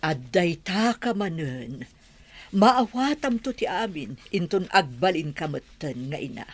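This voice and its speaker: A woman acts out a middle-aged mother from a radio comedy-drama. Her voice sits low and moves about with lots of expression. She is bossy and insistent, steadily admonishing. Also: sing-song, a little slow. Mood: firm, scolding. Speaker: actor in audio drama.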